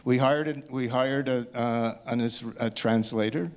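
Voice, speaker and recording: Man, medium pitch, documentary maker on a discussion panel. Halting delivery, circles back over his own words. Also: fairly even intonation, clear, resonant tone. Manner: halting, repeats words, thinking aloud. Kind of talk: post-screening question and answer talk.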